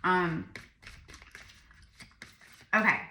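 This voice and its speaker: A woman's voice: fairly low pitch, lively intonation, drawn-out words, echoey room. A tired woman with a slightly crackly voice, chatting informally and in a rambling way to viewers during a psychic tarot reading. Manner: informal, rambling, addressing viewers as "you guys". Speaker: psychic medium and tarot reader.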